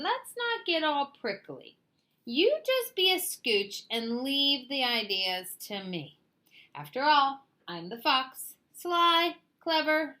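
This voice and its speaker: A woman's voice: sits high, bright, forceful tone, voice pushed up, exaggerated voice. A woman voicing a sly, vain, bossy male fox in a storybook, with a pompous, condescending, showy manner. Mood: condescending, boastful. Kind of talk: animated storybook read-aloud.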